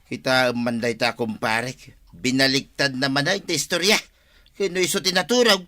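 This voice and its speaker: A man's voice: mid-range voice, moderate pace, bright, forceful tone, close to an everyday voice. A man with a Filipino accent playing an exasperated, blunt night-watch partner in a radio drama, scolding and matter-of-fact. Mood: indignant.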